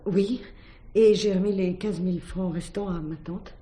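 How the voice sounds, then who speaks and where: A woman's voice: lowish voice, fairly even intonation, measured pace, mellow, natural voice. A woman playing an earnest young student under questioning in a 1970s radio drama, careful, deferential and a little hesitant. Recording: echoey room, old drama recording.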